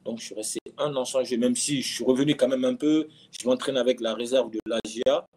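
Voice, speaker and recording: A man's voice: medium pitch, fairly even intonation, fairly quick, a little mellow. A man in his thirties, a footballer being interviewed, talking casually and often repeating words and phrases for emphasis. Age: 30s. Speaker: professional footballer, interview guest. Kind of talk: casual conversational storytelling.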